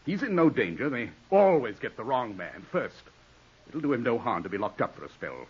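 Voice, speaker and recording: Bossy scornful english lawyer in an old radio play, husky, big swings in pitch, dull recording. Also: male voice, very animated, moderate pace. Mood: brisk, commanding. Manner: forceful, scornful, lecturing. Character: domineering English lawyer, ambitious, exasperated older brother. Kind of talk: 1940s radio drama acting.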